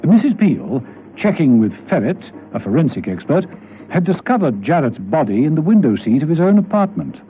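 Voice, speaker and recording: A man's voice: on the high side, big pitch swings, normal speed. A man narrating a classic spy radio drama from a script. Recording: dull old radio recording with music.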